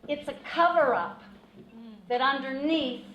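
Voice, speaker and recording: Canadian woman in the audience, loud and earnest, slightly high voice, echoey hall. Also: measured pace, dull audio, slight background noise. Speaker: audience member. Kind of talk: commenting from the audience. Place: event hall. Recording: echoey hall, speaking from the back.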